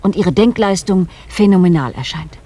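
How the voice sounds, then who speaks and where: An actress playing a composed, guarded woman doctor in a German science fiction radio play, speaking in a measured, matter-of-fact way. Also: fairly low pitch, animated, warm tone, slightly rough. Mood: calm, explanatory. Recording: faint background noise, early-1990s radio drama.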